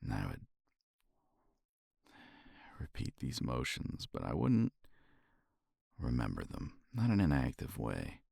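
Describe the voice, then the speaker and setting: A man speaking softly and reflectively in a romantic ASMR roleplay, sighing often and sometimes dropping to a whisper, close to the mic. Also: very deep voice, huge pitch swings, slow pace, gravelly, long pauses, breathy edge, no room sound. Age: older. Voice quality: soft, intimate, drifts into whispers.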